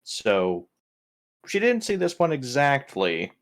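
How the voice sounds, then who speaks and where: A man's voice: medium pitch, huge pitch swings, slow pace. A man casually recapping a tabletop role-playing session as one of the players. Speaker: player in an online tabletop RPG.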